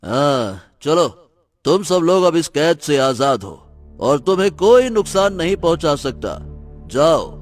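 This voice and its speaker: A man's voice: fairly high pitch, big pitch swings, takes it slowly, no room sound, faint music behind. A man playing a brave, resolute hero in a cartoon story, speaking earnestly and dramatically. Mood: reassuring. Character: brave, resolute human hero rescuing captives. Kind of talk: dramatic animated story dialogue.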